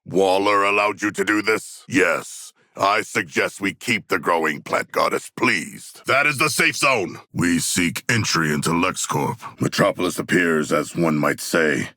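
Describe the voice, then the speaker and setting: An actor playing a huge, eloquent humanoid shark warrior in a video game, earnest and fierce, with a gravelly voice and stilted, formal diction. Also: male voice, low voice, measured pace, few pauses, pushed and bright, dry recording, clean studio sound. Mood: calm, brooding. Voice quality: gravelly, rough. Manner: formal, stilted, precise diction with big words. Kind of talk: game combat barks and dialogue.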